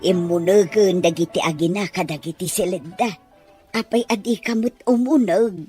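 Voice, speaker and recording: A woman's voice: on the low side, normal speed, dark tone, faint music behind, lightly acted. An actress playing an older female household servant in a radio drama, kindly, teasing and given to grumbling. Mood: muttering. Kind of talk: Filipino radio drama acting.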